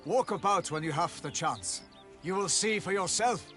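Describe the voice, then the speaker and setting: An actor playing an earnest knight envoy in a fantasy video game, speaking in formal, courtly phrases with a raspy voice. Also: male voice, high-pitched, moderate pace, fairly bright tone, soft background music. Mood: urgent, eager.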